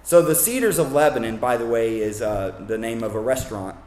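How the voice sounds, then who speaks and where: A man's voice: medium pitch, a little fast, darkish tone, reverberant. A man from Kentucky preaching a sermon in a casual, conversational way, with asides and self-corrections.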